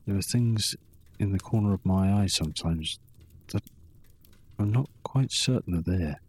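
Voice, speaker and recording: A weary old wanderer in drink, acted by a man, tells his story in a fantasy audio drama. The voice sits very low with a rough, husky grain. He broods and halts as he goes, bitter and worn down. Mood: uneasy.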